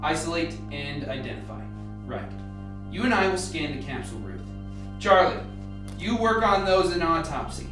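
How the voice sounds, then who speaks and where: A man's voice: a little high, expressive, moderate pace, natural voice. Man acting a methodical scientist in an echoey room. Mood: commanding, focused. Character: measured, methodical male scientist leading a lab team. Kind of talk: amateur radio play acting. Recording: very echoey room, distant, music behind.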